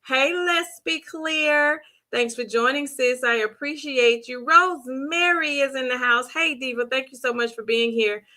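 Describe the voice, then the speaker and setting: Woman livestream host, hoarse, bright voice, bubbly repeated greetings, fairly high pitch. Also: measured pace. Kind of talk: live-stream chat greeting viewers.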